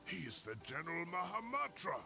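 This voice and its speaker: Very gravelly, weary old scholar. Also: male voice, high voice, sing-song, brisk, over music, heavily acted. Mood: resigned, defiant. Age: older. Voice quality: gravelly, creaky. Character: weary, defiant old male scholar, protective mentor. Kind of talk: dramatic video game voice acting.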